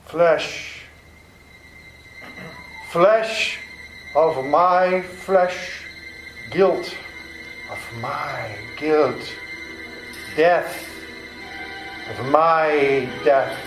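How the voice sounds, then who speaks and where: A man performs an ancient vampire count for a live radio play. The delivery is extremely slow and portentous, pitched high for a man, like a solemn incantation. The room echoes around the voice. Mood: dark incantation. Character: ancient male vampire count, commanding and lonely, summoning darkness. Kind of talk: old-time radio drama acting. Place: live performance in a public library. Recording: roomy live stage, music behind.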